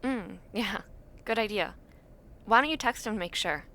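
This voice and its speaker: Woman playing a bright-voiced, friendly college girl. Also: medium pitch, moderate pace. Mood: relaxed, friendly. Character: friendly, sentimental young female university student, close friend. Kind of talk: intimate audio roleplay, casual one-sided chat.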